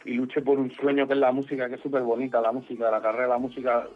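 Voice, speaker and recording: A man's voice: mid-range voice, racing. Man from Mallorca on a tinny line. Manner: relaxed, rambling, many restarts, casual swearing. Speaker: music artist guest. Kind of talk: casual interview chat.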